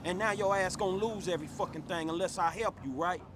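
A man's voice: high-pitched, quick pace, noisy background, lightly acted. An actor playing a blunt, exasperated, streetwise young man in a video game cutscene, talking in profane slang.

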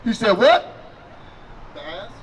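A middle-aged man preaching loudly on a city sidewalk outdoors into a handheld mic, questioning people as they pass. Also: high voice, lively intonation, takes it slowly, breathy edge. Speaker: street preacher.

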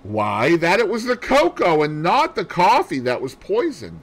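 A man voicing an excitable, self-satisfied gentleman sidekick with an emphatic, pompous manner, as audiobook dialogue. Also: mid-range voice, a little slow, husky, exaggerated voice. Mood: excited triumph.

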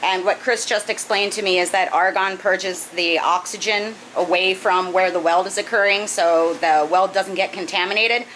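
A woman in her thirties chats through a building project in a basement room, her voice mid-pitched and easygoing. She explains each step plainly, dropping in the odd "um" and "uh". A faint noise sits under her voice. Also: steady tone, normal speed, few pauses, fairly bright tone, poor audio. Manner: chatty, explains step by step with fillers. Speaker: aquarium hobbyist vlogger. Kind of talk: casual explanatory narration. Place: basement fish room, indoors.

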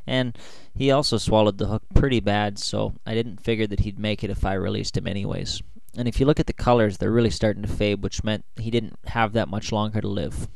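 A man giving a calm, explanatory voice-over. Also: on the low side, fairly quick, creaky, hardly any pauses, dry recording. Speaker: fishing show host. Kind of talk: voice-over narration.

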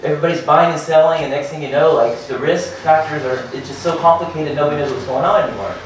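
A man in his thirties giving a hurried startup presentation at a meetup, full of fillers and restarts. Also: fairly high pitch, slight background noise. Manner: hurried, filler-heavy, restarts and repeats words. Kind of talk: conference talk. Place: meetup room with projector and seated audience. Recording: very echoey room from far off.

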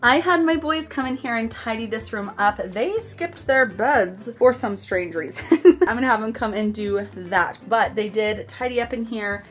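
Woman, 30s, relaxed chatty home vlogger voice-over, faint music under her. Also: a little high, normal speed. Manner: chatty, relaxed, laughs often. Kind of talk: casual voice-over chat. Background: soft music underneath.

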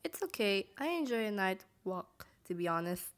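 A woman voicing gentle, caring, shyly romantic young characters in a comic dub, earnest and halting. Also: medium pitch, sing-song, lightly acted.

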